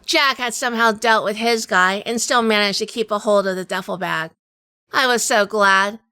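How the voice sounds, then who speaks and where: A woman playing a wry, tough female sleuth in a hardboiled noir audio drama, telling it in measured, dramatic fashion. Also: mid-range voice, sharp tone, smooth, no echo, close to an everyday voice. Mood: wry, weary. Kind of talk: first-person noir audio drama narration.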